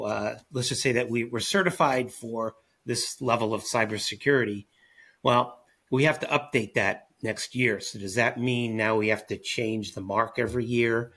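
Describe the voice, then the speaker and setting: A man's voice: mid-range voice, fairly bright tone, husky. A man who is a guest expert on a panel podcast, explaining his points in a confident way. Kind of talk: panel discussion.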